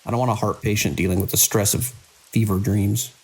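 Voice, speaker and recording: A man playing a weary, caring middle-aged hospital director in an audio drama, measured and dry with small hesitant pauses. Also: lowish voice, steady tone, a little mellow, lightly acted, low-quality recording. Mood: tired, reassuring.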